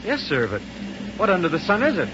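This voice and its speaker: A man playing a bold, brisk young space hero in a 1930s radio serial, on a muffled, noisy recording. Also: high-pitched, huge pitch swings. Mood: eager.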